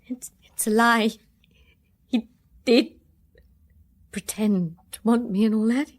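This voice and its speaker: An actress playing a bitter, guarded young woman in a radio drama, with an English accent and a hesitant, halting way of confiding. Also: on the high side, steady tone. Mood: hurt, ashamed. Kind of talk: full-cast radio drama acting.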